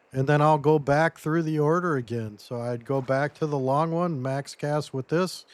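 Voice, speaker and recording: A man losing his voice a little, giving a relaxed step-by-step gameplay tutorial as commentary. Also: fairly high pitch, no room sound. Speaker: game guide creator.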